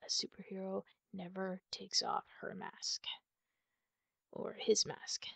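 A woman playing a wounded, guarded and prickly masked superheroine in an ASMR roleplay, half-whispering in a breathy, husky voice with halting, pained sighs. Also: mid-range voice, little pitch movement, fairly bright tone, no room sound, heavily acted. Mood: defensive. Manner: halting, sighing, pained delivery.

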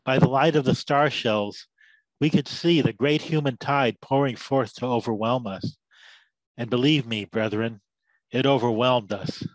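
A man's voice: mid-range voice, a little slow, dry recording, lightly acted, low-quality recording. Man acting a sermonizing, embittered war veteran. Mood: grave, sorely afflicted. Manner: loquacious, sermon-like oratory with biblical cadence. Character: embittered drunken war veteran Big Mike, preaching battlefield tales. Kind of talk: dramatised story, theatrical monologue.

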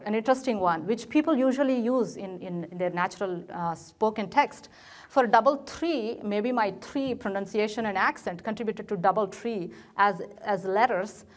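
A middle-aged woman with an Indian English accent giving a technical presentation in a lecture room, with many fillers and restarts. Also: medium pitch. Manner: frequent fillers and restarts, gestures at slides. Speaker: conference speaker. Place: lecture room, presenting in front of a projected slide.

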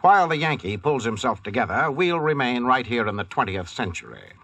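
A man announces a vintage radio show with theatrical formality. His medium-pitched voice is husky and swings expressively, with faint music behind. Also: sing-song, brisk, fairly bright tone, no echo. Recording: vintage 1940s radio broadcast.

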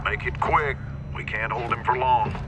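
A man acting a gruff, commanding general heard over a radio in a game. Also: mid-range voice, moderate pace. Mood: stern, impatient. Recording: radio voice under loud engine noise.

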